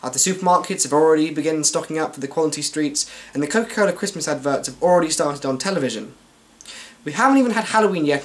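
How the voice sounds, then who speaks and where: British man, very animated wry delivery. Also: fairly high pitch. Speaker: vlogger. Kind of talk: scripted comic monologue to viewers.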